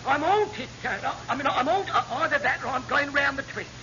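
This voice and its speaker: An actor playing a hapless, roguish navy chief petty officer who rambles in cheeky, colloquial fashion in a classic radio sitcom. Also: male voice, extremely high pitch, expressive, moderate pace. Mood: aggrieved, baffled. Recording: muffled, noisy old radio comedy recording.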